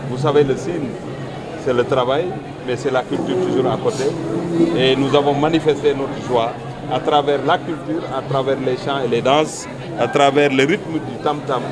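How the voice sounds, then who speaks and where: A man with a Senegalese accent, a local official giving an emphatic public statement to the press, repeating key phrases. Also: medium pitch. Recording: busy crowd behind, urban public space.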